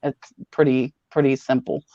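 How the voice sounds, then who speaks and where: A woman hosting a casual livestream chat, talking in a brisk, rambling, matter-of-fact way. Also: rumbling low, flat delivery, dull audio, a little hiss or hum. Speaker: host.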